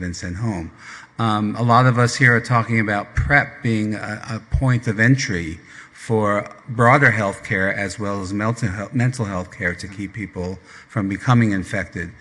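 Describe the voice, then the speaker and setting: Low-voiced man on a conference panel. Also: a bit bright, big-room sound. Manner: earnest, with frequent filler pauses. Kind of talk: academic conference remarks. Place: large conference hall.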